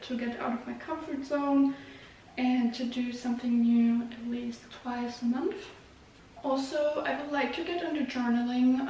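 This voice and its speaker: Echoey, high-voiced young Russian-native woman. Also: darkish tone. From Germany, moved there when very young. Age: young adult. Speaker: YouTube vlogger. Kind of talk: casual chat to viewers. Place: echoey indoor room. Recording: very echoey room, distant mic, faint noise.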